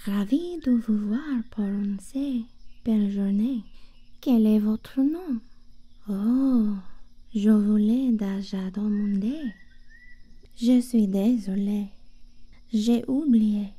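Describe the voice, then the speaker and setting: A woman whispering softly and gently in an acted ASMR roleplay, with a breathy voice. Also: medium pitch. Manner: slow, gentle, hushed delivery.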